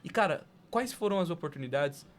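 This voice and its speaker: A man's voice: a little high, animated, a little fast. A young man with a Brazilian accent hosting a podcast in casual, slangy conversation. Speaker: podcast host.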